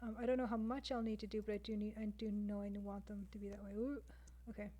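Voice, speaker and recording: A woman's voice: medium pitch, flat delivery, fast, dark tone. A woman with a Canadian accent chats casually to stream viewers, thinking aloud as she draws. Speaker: livestreamer, game artist. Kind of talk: casual chat with stream viewers while drawing.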